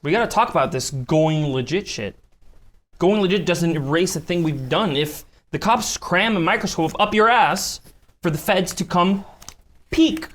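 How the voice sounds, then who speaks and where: A man's voice: high voice, animated, normal speed, fairly bright tone. An actor at a table read playing a worried mobster who presses his boss with questions. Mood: pressing. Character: worried, pressing male mobster, the boss's confidant. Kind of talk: live script table reading.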